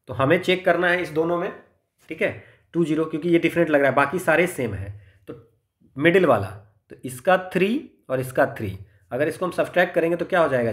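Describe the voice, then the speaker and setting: A man's voice: a little high, fairly bright tone. A man with an Indian accent teaching maths step by step, mixing Hindi and English and often answering his own questions. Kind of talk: exam problem-solving lesson, explaining step by step.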